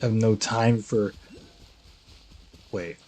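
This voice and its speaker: A man's voice: lowish voice, steady tone, takes it slowly, darkish tone. A man livestreaming a video game with casual, offhand commentary, muttering and repeating words.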